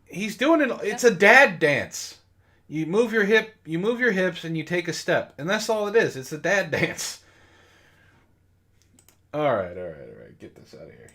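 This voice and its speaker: A man's voice: high voice, big pitch swings, normal speed, sharp tone. A man hosting a casual comedic read-aloud, breaking off to react with amused exasperation and swearing. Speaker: host of a comedy book club reading. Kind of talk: casual read-aloud of bad fan fiction with amused commentary.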